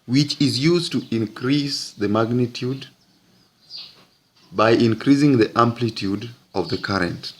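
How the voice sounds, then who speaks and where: A man teaching physics, narrating an explanatory lesson in deliberate, halting phrases with frequent breaks. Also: medium pitch, slight background noise.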